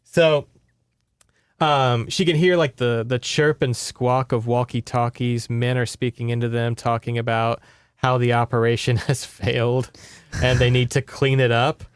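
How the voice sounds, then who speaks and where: A man's voice: mid-range voice, level tone, a little slow, clean tone, dry recording, clean studio sound. A man hosting a podcast, giving a casual, rambling, sweary book report in conversation. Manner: informal, sweary, rambling storytelling.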